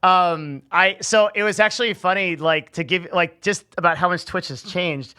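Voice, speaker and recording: A man's voice: high voice, steady tone, moderate pace, bright, forceful tone, smooth. A young man hosting a stream, chatting casually, laughing often and stammering through restarts. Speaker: stream host. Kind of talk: casual chat.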